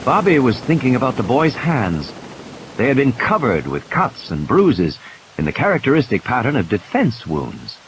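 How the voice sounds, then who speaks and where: A man's voice: mid-range voice, wildly swooping pitch, normal speed, rough recording. A man reading scripted dramatic narration as the storyteller of an audiobook. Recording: clear background hiss and noise.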